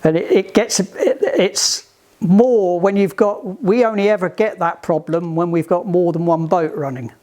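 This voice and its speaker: A man giving informal, practical instruction to a group, halting and often restarting his phrases. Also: high-pitched, mellow. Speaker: instructor at a sailing club radio session. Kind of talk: informal instructional talk with questions.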